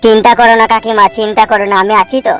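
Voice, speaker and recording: A woman's voice: fairly high pitch, little pitch movement, very fast, natural voice. A woman voicing a cocky, emphatic young village man in a cartoon. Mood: reassuring. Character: brash, vengeful young village man, self-styled hero. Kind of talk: cartoon melodrama. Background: music.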